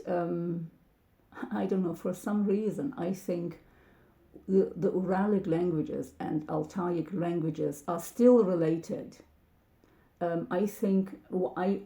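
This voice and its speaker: Slow, halting woman with gravelly voice. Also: on the low side, warm tone, echoey room. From Turkey. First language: Turkish. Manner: hesitant, many ums and restarts. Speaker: channel host. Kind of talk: casual talk to camera.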